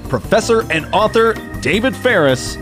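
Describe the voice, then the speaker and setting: A man working as the show's producer, reading the intro and sponsor list in a brisk announcer manner in a studio. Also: high voice, lively intonation. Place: radio studio. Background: organ music.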